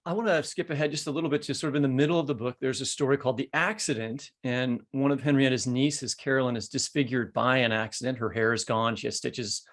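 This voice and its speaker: A man's voice: mid-range voice, steady tone, fast, hardly any pauses, a bit bright. A man co-hosting a literary podcast, asking questions and commenting in a conversational way. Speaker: podcast co-host.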